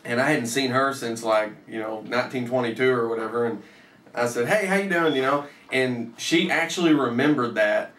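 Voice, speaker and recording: Bright-voiced man telling stories in a roomy space. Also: mid-range voice, moderate pace. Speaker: storyteller, host. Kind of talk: casual storytelling, profane. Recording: roomy echo, off the mic.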